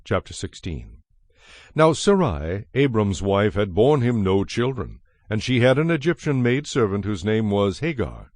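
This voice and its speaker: A man giving a solemn, measured scripted narration of scripture in a dramatized style. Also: lowish voice, sing-song, a little mellow, no echo, studio quality. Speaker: Bible narrator. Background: music.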